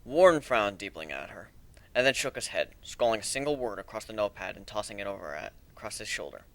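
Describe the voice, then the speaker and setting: A man reading a story aloud as a dramatic narrator, in a measured, deliberate way with expressive emphasis. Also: fairly low pitch, dry recording.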